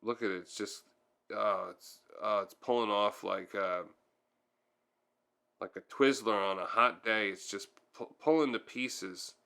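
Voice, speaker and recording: A man's voice: on the low side, unhurried, creaky, bright, forceful tone, clear, resonant tone. A man giving casual, hands-on how-to narration as a tutorial host, thinking aloud a little hesitantly as he goes.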